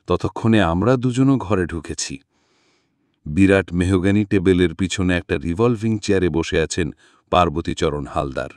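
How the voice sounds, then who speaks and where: A man reading a mystery story as a scripted audiobook narration, with an expressive, dramatic storytelling delivery. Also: sits low, no echo, studio quality.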